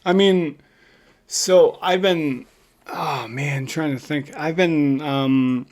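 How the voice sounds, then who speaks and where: A man co-hosting a podcast, chatting casually in an excitable, slangy and emphatic way. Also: on the high side, takes it slowly. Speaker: podcast co-host. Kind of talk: casual chat about video games and films.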